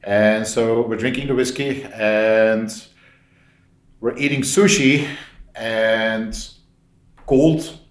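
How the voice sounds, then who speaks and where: Echoey low-voiced man telling casual stories. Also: steady tone, extremely slow, long pauses. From Belgium. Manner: informal, re-enacts dialogue with frequent "like". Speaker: podcast guest. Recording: roomy, echoey sound.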